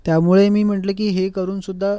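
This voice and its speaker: Higher-voiced, mellow young man. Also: flat delivery, fast, smooth, dry recording. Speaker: guest vocalist being interviewed. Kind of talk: conversational interview answers, mixing in English. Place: recording studio.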